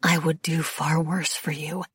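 A woman's voice: deep voice, flat delivery, a little slow, slightly rough, no room sound, exaggerated voice. A woman voicing a defiant, guarded young witch heroine in an audiobook, earnest and defensive.

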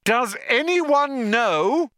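Demanding female sci-fi audiobook crew member done by a man: exasperated, insistent, raised high, shouting. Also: expressive, extremely slow, slight background noise, exaggerated voice.

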